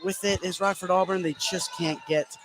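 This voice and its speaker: Male play-by-play announcer, basketball commentary, somewhat high pitch, clearly noisy gym. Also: fairly even intonation, moderate pace. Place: indoor sports gym. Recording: clear crowd and gym ambience noise.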